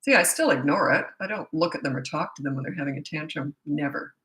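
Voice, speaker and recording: Middle-aged woman, parenting coach on a live stream, voice going hoarse, bright and forceful tone in an echoey room. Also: low voice, quick pace. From Canada. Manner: direct and no-nonsense, with frequent fillers and restarts. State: hoarse, losing her voice. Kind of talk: live-stream Q&A answering viewer comments.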